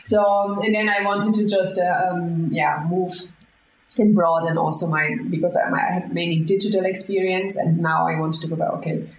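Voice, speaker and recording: A woman's voice: on the low side, level tone, moderate pace. A woman with a German accent answering casual interview questions in a conversational way. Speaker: interview guest, marketing manager. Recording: echoey room, faint background noise.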